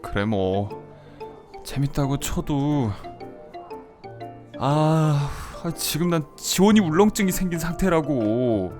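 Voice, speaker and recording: A man's voice: on the high side, animated, slow, long pauses. A man playing a flustered, shy thirties guy. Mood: fretful. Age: 30s. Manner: flustered, hesitant, sighing. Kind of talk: amateur audio drama acting. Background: soft background music.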